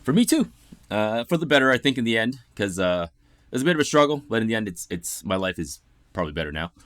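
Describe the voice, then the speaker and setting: A man's voice: lowish voice, very animated, quick pace, fairly bright tone, no echo, studio quality. A man from Ontario hosting a casual interview, upbeat and chatty. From Ontario, Canada. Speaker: interview host. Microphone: video call setup.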